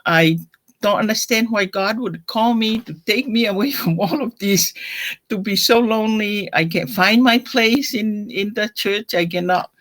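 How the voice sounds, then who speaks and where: An older woman from Tonga with a Tongan accent, telling her personal story in an interview in a reflective, halting way. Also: mid-range voice. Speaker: deacon, interview guest.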